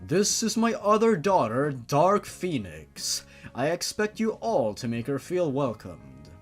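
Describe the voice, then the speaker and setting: Man acting out a solemn, slow-chanting sorcerer father. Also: wildly swooping pitch, soft background music, exaggerated voice. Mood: grave, fond. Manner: slow, theatrical incantation with booming climaxes. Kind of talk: dramatic fan fiction reading.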